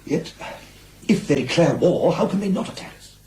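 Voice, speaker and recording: A man's voice: a little high, expressive, moderate pace, dark tone, a little hiss or hum, close to an everyday voice. A man playing an earnest, deferential government official in a radio drama, speaking formally and carefully. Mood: cautious, uneasy.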